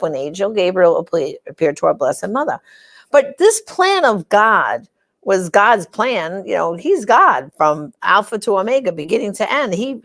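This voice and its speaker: A middle-aged woman hosting a religious program, speaking in a conversational, explanatory way and stressing key words as she gives a devotional talk. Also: medium pitch.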